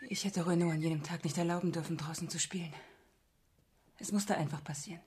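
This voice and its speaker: Actress as grief-stricken mother, halting and haunted. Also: lowish voice, fairly even intonation, a little mellow, slight background noise, close to an everyday voice. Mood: guilt-ridden grief. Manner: halting, broken phrases, sinking into whispers. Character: grieving, guilt-ridden mother, bitter and near hysteria. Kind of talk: radio crime drama acting.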